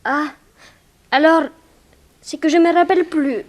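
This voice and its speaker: A woman's voice: sits high, steady tone, measured pace. An actress playing a frightened, evasive young girl, hesitant and pleading, in a 1960s French radio serial. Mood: startled, evasive.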